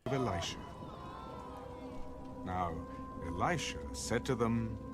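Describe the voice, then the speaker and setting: A man's voice: lowish voice, slow pace, over music. A man narrating scripture from a script in a dramatic audio Bible style.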